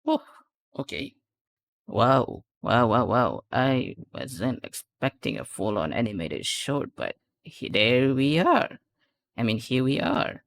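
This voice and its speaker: Man, casual reaction host, unhurried pace, creaky voice, rambling, repeats himself, faint music behind. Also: mid-range voice. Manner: rambling, repeats words for emphasis. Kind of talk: casual commentary, reacting to game trailers. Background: music from the trailer.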